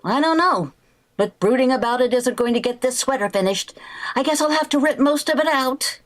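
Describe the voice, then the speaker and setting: An actress playing a sarcastic housewife who makes dry wisecracks, in vintage radio sitcom style. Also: female voice, high-pitched, expressive, normal speed. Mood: chatty, exasperated. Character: sarcastic young housewife bristling at her mother-in-law.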